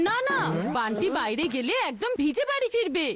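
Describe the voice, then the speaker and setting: A woman's voice: high-pitched, wildly swooping pitch, fairly quick, very noisy. A woman voicing a fussy, caring young mother of two boys in a Bengali cartoon dub, with theatrical delivery.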